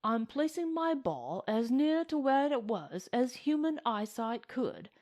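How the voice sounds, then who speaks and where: A sardonic Western cowboy as a woman narrator puts him on, raised a little in pitch. He is folksy and mock-courteous, with sly menace under the politeness, in storybook style. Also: very animated, unhurried, a little mellow, full character voice. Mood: mock-polite menace.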